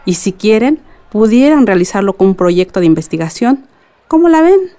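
An actress playing an affectionate middle-aged Mexican mother and school head in a radio soap, gentle and encouraging. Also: medium pitch, sing-song, moderate pace, close to an everyday voice. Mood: proud, encouraging. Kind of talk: radio soap opera dialogue.

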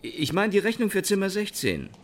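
A woman's voice: medium pitch, big pitch swings, normal speed. An actress playing a bitter, shaken, betrayed woman in a German radio drama. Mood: indignant, startled. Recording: noisy recording.

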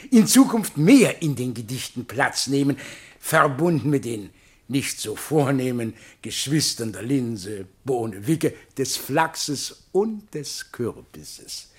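An oily, self-pleased scholar in a literary radio drama. He speaks slowly in a husky voice that swoops widely, drawing out his elaborate flattery in a mannered way. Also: medium pitch. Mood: effusive, flattering. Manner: unctuous, mannered, over-elaborate. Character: fawning, slippery scholar who flatters everyone and himself. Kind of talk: theatrical radio play acting of literary text.

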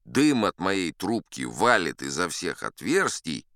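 A man's voice: deep voice, big pitch swings, a little slow, a bit bright, no echo. A man narrating an audiobook in the first person, chatty and wry, as if talking to listeners. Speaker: audiobook reader. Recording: dry close studio.

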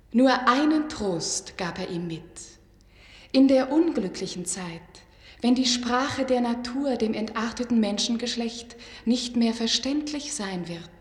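Long reverb surrounds an enchanting young serpent maiden in a radio fairy tale. Her high voice moves very slowly, tender and solemn like an incantation. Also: darkish tone. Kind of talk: German radio play acting, fairy-tale storytelling. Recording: long reverberant echo, music behind.

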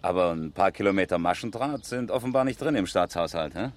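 Polite, grumbling motorist, very low voice, radio crime play. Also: male voice, animated, fairly quick. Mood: grumbling, sarcastic. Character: male motorist giving testimony, polite but grumbling. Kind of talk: 1970s German radio crime drama acting.

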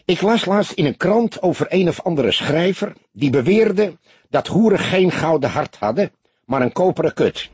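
Man voicing a high-pitched, chirpy little barkeeper. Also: sing-song, normal speed, a little mellow, husky, dry recording, heavily acted. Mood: indignant. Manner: chirping, exuberant, indignant-prone. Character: small, slender, slick, jovial Amsterdam bar owner. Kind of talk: audiobook character voice.

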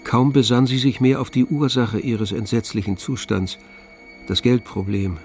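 A man narrating a literary radio play from a script in a measured, solemn manner. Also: medium pitch, fairly even intonation, darkish tone, soft background music.

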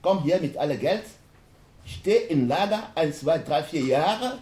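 A man from Africa, speaking halting, broken German with many restarts as a panel speaker at a university event. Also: fairly high pitch, expressive, warm tone. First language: not German. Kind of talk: panel discussion talk. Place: university lecture hall. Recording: roomy hall echo.

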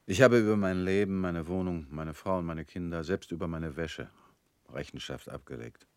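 An actor in a radio drama playing a weary middle-aged family man, guarded and resigned, talking in flat, curt lines that often sink quiet. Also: low-pitched, normal speed, no echo, close to an everyday voice.

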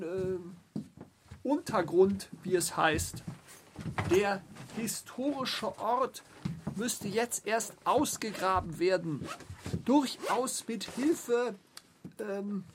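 A woman's voice: mid-range voice, drawn-out words, long pauses, noisy background. A woman playing a sardonic, bureaucratic commentator in a literary radio play, with formal, ironic wording.

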